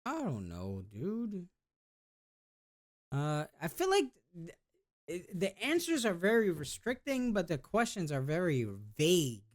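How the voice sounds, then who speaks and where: A man's voice: high voice, huge pitch swings, measured pace. Rambling, hesitant laid-back guy. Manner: rambling and hesitant, with many restarts and trailing off; laid-back slangy talk. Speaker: YouTuber. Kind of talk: casual vlog, reading questions aloud and answering.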